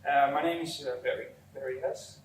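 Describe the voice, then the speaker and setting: A man's voice: mid-range voice, steady tone, normal speed. Dutch-accented man, roomy distant recording. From Netherlands. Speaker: company executive, interview guest. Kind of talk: unscripted interview answers. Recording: roomy, distant mic, faint background noise.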